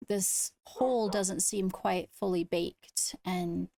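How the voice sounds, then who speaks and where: A woman speaking in improvised roleplay, leading a meeting in a measured, deliberative way. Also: fairly low pitch, steady tone, creaky, dark tone. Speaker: role-player leading a court meeting. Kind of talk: improvised in-character roleplay talk.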